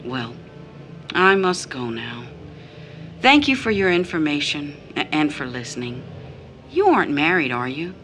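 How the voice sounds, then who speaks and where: Forceful, bitter mother. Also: low voice, very animated, a little slow, long pauses, faint music behind, heavily acted. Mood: subdued, grave. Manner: emphatic, grave, bitter. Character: bitter, disillusioned mother, weary and defiant. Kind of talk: audio drama stage-style acting.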